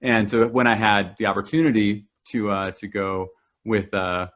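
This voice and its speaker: A man's voice: lowish voice, steady tone, moderate pace, fairly bright tone. A man from New Zealand presenting a climbing talk, enthusiastic and full of fillers and restarts. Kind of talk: presentation to a club audience.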